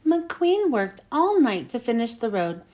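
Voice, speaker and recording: A woman reading a children's storybook aloud in an expressive way, with dramatic storytelling emphasis. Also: fairly high pitch, a little slow. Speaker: children's book reader.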